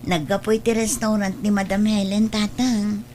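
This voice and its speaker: A woman's voice: mid-range voice, little pitch movement, moderate pace, dark tone, slight background noise, close to an everyday voice. An actress playing a poor, devoted, self-sacrificing mother in an Ilocano drama, humble and earnest, with an Ilocano Filipino accent. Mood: humble, weary. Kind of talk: Ilocano radio-style drama acting.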